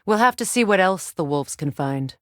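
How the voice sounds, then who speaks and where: Game role: proud Valkyrie queen, lower-pitched, composed and determined, formal delivery, very dry close sound. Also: lively intonation, moderate pace, a bit bright, dry recording. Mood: measured, wry. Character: proud, determined Norse goddess and Valkyrie queen, grown woman. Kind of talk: scripted video game dialogue.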